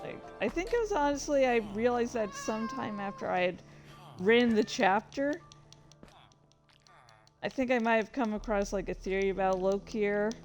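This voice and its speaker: A woman giving casual, rambling commentary as a writer, halting and self-deprecating, with some swearing. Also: fairly high pitch, lively intonation, a little mellow, faint music behind. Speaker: writer reading and commenting on own story.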